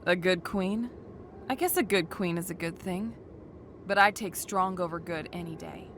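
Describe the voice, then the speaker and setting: A woman's voice: fairly low pitch, moderate pace, slight background noise, natural voice. A woman playing a cynical, ruthless young rogue adventurer in a sci-fi comic dub, with a biting, commanding, sardonic manner. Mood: bitter sarcasm.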